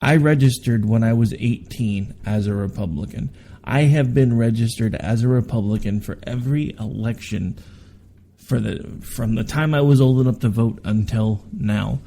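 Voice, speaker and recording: A man's voice: medium pitch, normal speed, warm tone. A man in his forties hosting a political talk radio show, tired from overwork, talking in a rambling, candid way with asides and self-corrections. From Oklahoma, United States. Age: 40s.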